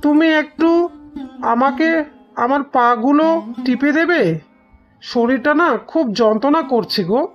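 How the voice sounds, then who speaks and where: Kind, worn-out young cartoon husband, played by a woman: high voice, considerate, slightly lo-fi, sitar-like music behind. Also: unhurried, pauses often. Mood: caring, weary. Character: caring, weary young husband home from the city. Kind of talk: Bengali moral-story cartoon acting. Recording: slightly dull, lo-fi sound. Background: plucked string music.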